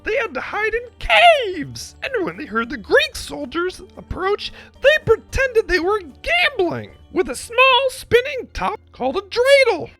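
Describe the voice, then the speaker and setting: A woman's voice: extremely high pitch, big pitch swings, unhurried, hardly any pauses, a bit bright, dry recording, faint music behind, heavily acted. A woman voicing an indignant, easily exasperated little latke in an emphatic storybook reading, lecturing and prone to shrieking. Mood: insistent lecturing.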